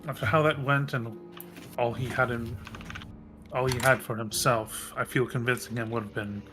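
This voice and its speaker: A man chatting casually as a player in a tabletop game, halting and rephrasing as he goes. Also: medium pitch, steady tone, music in the background.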